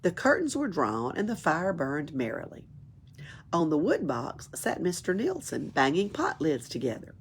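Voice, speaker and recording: A low, gravelly woman's voice reads a children's book over noticeable background noise. The storytelling is expressive, suited to young listeners. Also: moderate pace. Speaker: library storyteller. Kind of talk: reading a children's book aloud.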